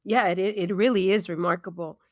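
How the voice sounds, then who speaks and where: A woman's voice: mid-range voice, steady tone, brisk, no echo, studio quality. A woman hosting a talk show interview, asking questions and responding with empathy. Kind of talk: interview host.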